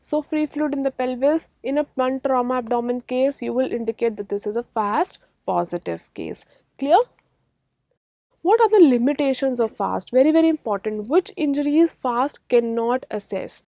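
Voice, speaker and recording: A woman with an Indian accent teaches a medical class in a high-pitched voice. She is didactic and emphatic, restating key terms and putting short check questions to her students. The sound is dry, with very little room echo. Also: lively intonation, moderate pace, mellow. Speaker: radiology lecturer. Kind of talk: medical exam-prep lecture.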